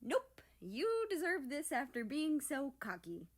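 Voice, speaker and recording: A woman's voice: high-pitched, wildly swooping pitch, unhurried, pitched above the performer's own voice, heavily acted. A woman voicing a brash, smug teenager in a story, with sarcastic, teasing retorts. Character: brash, smug teenage country-person, teasing and hot-headed. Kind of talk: animated storybook character voice.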